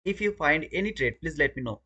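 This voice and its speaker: A man's voice: fairly high pitch, animated, a little fast, sharp tone, slightly rough, dull audio. A man from Bangladesh with a Bangladeshi English accent, hosting a live trading stream and talking casually to his viewers, often repeating words. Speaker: trading livestream host. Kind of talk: live trading commentary and chat.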